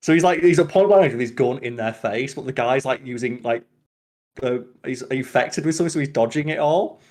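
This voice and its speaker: A man hosting a podcast, chatting casually and retelling scenes in an animated, rambling way full of filler "like". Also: medium pitch, brisk. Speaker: podcast host. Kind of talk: casual podcast chat about films.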